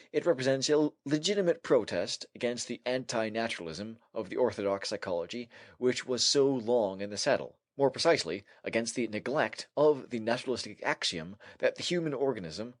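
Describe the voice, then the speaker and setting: Man, audiobook narrator, medium pitch, formal scholarly reading, measured delivery, dry sound without room echo. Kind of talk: scripted narration of a scholarly essay.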